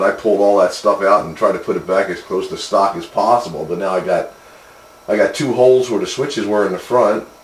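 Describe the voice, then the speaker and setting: Male radio hobbyist, very low voice, plainspoken, roomy echo with casual delivery. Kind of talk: casual chat over radio. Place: two-way radio conversation.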